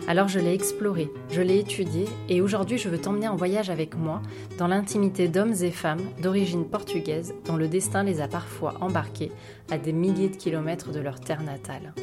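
A woman's voice: fairly low pitch, fairly even intonation, brisk. A woman from France reading a scripted podcast introduction as the host. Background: plucked guitar music.

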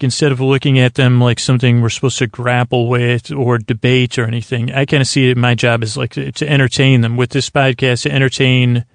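Man, creaky gravelly voice, middle pitch, dry close sound, meandering bedtime podcast monologue, halting, whimsical. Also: no room sound. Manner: meandering, halting, repeats and over-describes. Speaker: podcast host. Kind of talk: rambling bedtime monologue to help listeners sleep.

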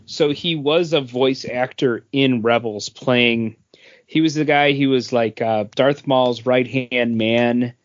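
Unhurried man, slightly dull podcast audio. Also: mid-range voice, fairly even intonation. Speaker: podcast co-host. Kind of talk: casual geek news discussion.

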